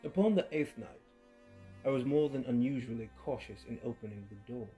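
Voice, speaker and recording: A man giving a dramatic horror story reading, swinging between hushed murmurs and sudden loud outbursts, with an unhinged edge and creepy laughs. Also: medium pitch, brisk. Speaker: narrator. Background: faint violin music.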